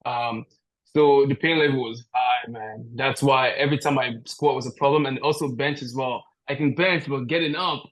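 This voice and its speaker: A man's voice: mid-range voice, fairly even intonation, normal speed, a bit bright, rough recording. A man from Nigeria chatting casually as an interview guest on a video call, easygoing and joking about himself. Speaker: powerlifter, podcast guest. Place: indoor room at home, video call. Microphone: video call, device at a short distance.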